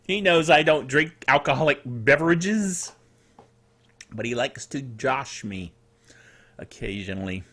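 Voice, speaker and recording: A middle-aged man hosting a solo tech news show in a chatty, playful and quirky way, with theatrical asides and a slightly dry, rough throat. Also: medium pitch, expressive, unhurried, long pauses, sharp tone.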